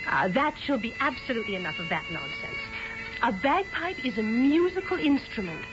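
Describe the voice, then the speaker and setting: An actress playing an imperious young British fiancée in a radio drama, clipped and theatrical. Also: female voice, a little high, sing-song, normal speed, over loud music. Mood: indignant. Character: haughty, imperious young English fiancée, exasperated by ghosts. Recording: dull vintage radio drama recording.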